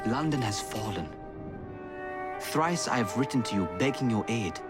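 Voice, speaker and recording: An actor playing a solemn Victorian assassin, reading out a formal, impassioned plea as dramatic letter narration in a video game. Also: male voice, mid-range voice, lively intonation, moderate pace, over loud music, lightly acted. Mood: desperate, pleading. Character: grave, urgent Victorian Assassin writing a desperate plea.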